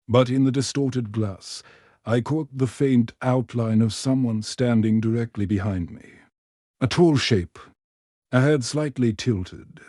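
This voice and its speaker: A man narrating a first-person horror story in a measured, hushed, suspenseful way. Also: mid-range voice, no echo, clean studio sound.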